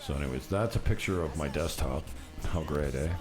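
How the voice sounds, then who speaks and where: A man's voice: rumbling low, fairly quick. A man hosting a podcast, rambling casually and irreverently, swearing freely and poking fun at himself. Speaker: podcast and radio host. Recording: music playing behind the voice. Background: show theme song.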